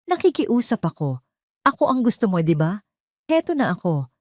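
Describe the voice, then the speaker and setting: A woman's voice: medium pitch, very animated, normal speed, darkish tone, dry recording, pitched above the performer's own voice. A storyteller performs a desperate yet steely mother from an audio drama. She pleads in a raised, controlled female voice, close and dry. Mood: calm pleading.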